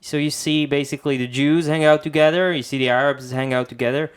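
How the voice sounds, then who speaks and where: A man co-hosting a podcast, asking quick questions in casual chat. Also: on the high side, steady tone, clear, resonant tone. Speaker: podcast co-host.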